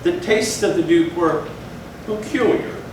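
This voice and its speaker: A man performing a dramatic stage reading of a gothic tale, with measured, theatrical phrasing and deliberate pauses. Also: sits high, a little mellow. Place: large echoey room, live stage performance. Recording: echoey hall from far off, noisy.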